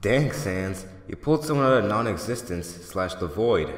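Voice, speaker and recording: A man's voice: on the low side, lively intonation, normal speed. A man talking casually as narrator and questioner in a scripted comic dub. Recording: echoey, reverberant, music behind.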